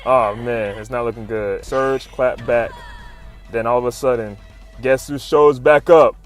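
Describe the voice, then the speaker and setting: A man narrates in a casual hype style, full of slang, swearing and fannish exclamations. His pitch sits in the middle range, and music plays faintly behind him as he recaps. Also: steady tone, a little slow. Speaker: YouTube comic recap narrator. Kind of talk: casual hype commentary, retelling comic fights. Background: music under the voice-over.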